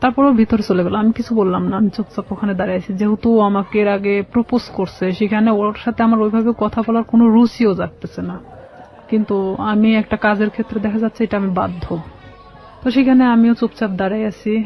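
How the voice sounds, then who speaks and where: A Bangladeshi woman tells a personal story in a radio interview. The sound is slightly dull and lo-fi. She explains haltingly, circling back over her phrases. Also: medium pitch, steady tone. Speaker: radio show guest.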